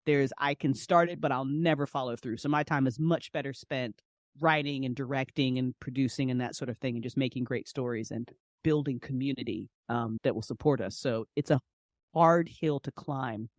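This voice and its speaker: A woman's voice: very deep voice, normal speed, a little mellow, no echo, studio quality. A woman speaking as a podcast guest in casual, passionate interview talk, emphatic and repeating phrases for stress. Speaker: podcast guest, audio drama writer and director.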